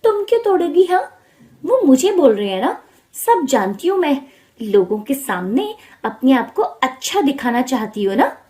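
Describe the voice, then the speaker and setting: A greedy, scornful village woman from a storybook, played by a female narrator. Her voice is very high and shrill. She nags and dramatizes everything as she schemes. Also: very animated, normal speed, warm tone. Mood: scornful, irritated.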